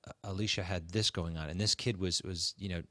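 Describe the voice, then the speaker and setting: A man with a low voice shares relationship advice as a podcast co-host. His delivery is conversational and halting, with words repeated for emphasis. The recording is dry and close. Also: fairly even intonation, bright, forceful tone, dry recording.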